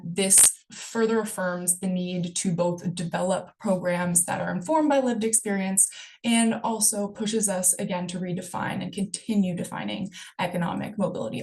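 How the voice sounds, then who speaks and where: A woman presenting survey results in a webinar, explaining the data in a steady way. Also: on the low side, creaky, slight background noise.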